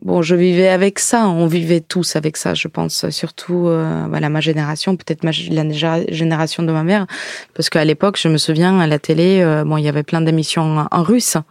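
A woman's voice: deep voice, little pitch movement, a little fast, gravelly, a little mellow, no room sound, studio quality. A woman from Ukraine giving a personal account in conversation, reflective and often searching for words. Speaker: podcast guest, diarist.

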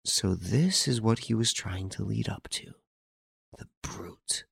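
A man's voice: lowish voice, expressive, normal speed, creaky, no echo, studio quality. A man acting a young murder suspect in an audiobook, mocking and flurried. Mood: guarded, jesting. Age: young adult.

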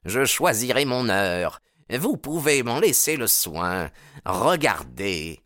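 A man's voice: mid-range voice, big pitch swings, takes it slowly, fairly bright tone, no echo, studio quality, pitched above the performer's own voice. A man voicing an arrogant, jealous young rival in an audiobook, brusque, sneering and hot-tempered. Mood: threatening.

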